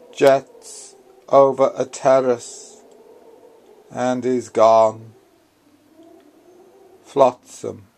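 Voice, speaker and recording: Very slow, theatrical male literary reader. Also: mid-range voice, creaky, a little mellow. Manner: deliberate, theatrical, incantatory. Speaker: author reading own novel. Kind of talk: dramatic literary reading.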